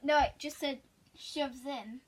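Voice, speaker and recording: A woman's voice: sits high, fairly even intonation, takes it slowly. A woman chatting casually, chiming in as a friend's sidekick on a story. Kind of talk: casual chat.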